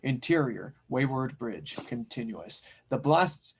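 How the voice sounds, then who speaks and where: A man's voice: medium pitch, expressive, takes it slowly, slight background noise. A man reading scene directions as the narrator of an audio drama table read. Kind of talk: scripted narration.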